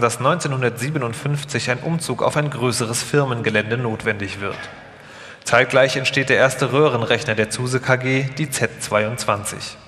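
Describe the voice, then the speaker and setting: A man's voice: mid-range voice, pushed and bright. A man reading scripted documentary narration live, as the narrator of a radio feature. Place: live stage in a large hall. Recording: echoey hall with long reverb.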